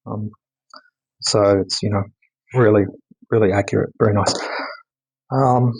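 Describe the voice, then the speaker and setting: A man giving a casual, unscripted hands-on technical demonstration, speaking haltingly and often repeating words for emphasis. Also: on the low side, steady tone, creaky, a little mellow, husky, poor audio. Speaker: electronics hobbyist presenting a radio mod.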